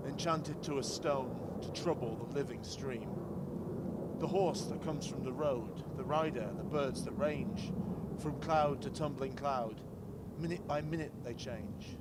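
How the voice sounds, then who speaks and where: Clear urban noise with vehicles surrounds a man reciting verse outdoors. His voice sits at a middle pitch for a man. He phrases the lines in a measured, declamatory way, as a reader at a public commemoration. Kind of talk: poetry recitation. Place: outdoors in a city square.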